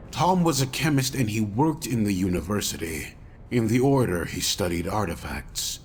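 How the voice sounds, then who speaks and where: An actor playing a resolute investigator who gives measured briefings to a detective in a mystery game. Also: male voice, lowish voice, a little hiss or hum. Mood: reflective. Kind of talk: scripted game cutscene dialogue.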